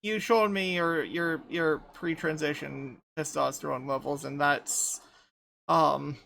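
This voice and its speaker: A woman in her thirties in casual group chat, light voice without chest resonance, talking haltingly with many restarts. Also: deep voice, steady tone, creaky, a bit bright, a little hiss or hum, rough recording. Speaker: podcast panelist.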